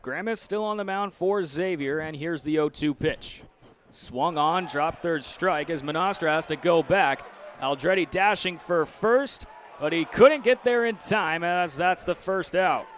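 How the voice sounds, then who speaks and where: A young man with an American accent calls a baseball game live. His voice sits somewhat high. He speaks into a headset mic as a play-by-play announcer. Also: measured pace, a bit bright. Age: young adult. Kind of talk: live sports commentary.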